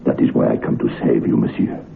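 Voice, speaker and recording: An actor playing a solemn, protective French hotel head waiter in a 1960s radio horror play, with a French accent and halting, formal, broken English. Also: male voice, extremely low pitch, flat delivery, gravelly, heavily acted. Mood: grave, storytelling. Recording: dull, noisy old radio recording.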